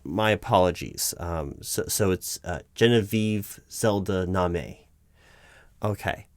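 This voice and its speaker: Man acting out recruiting manager, lowish male voice, dry sound, halting, stammering, flustered, deferential, audio roleplay interview. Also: no room sound. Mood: puzzled, hesitant. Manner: halting, stammering, awkwardly polite. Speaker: roleplay performer. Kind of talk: spoken audio roleplay, one-sided job interview.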